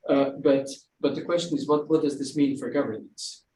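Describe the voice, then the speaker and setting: A man's voice: medium pitch, fairly even intonation, a little mellow. Guy on a research panel, kinda far from the mic, roomy echo, little hiss, stumbles n repeats himself. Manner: halting, repeats words while thinking. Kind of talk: academic panel discussion. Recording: echoey room, distant mic, faint hiss.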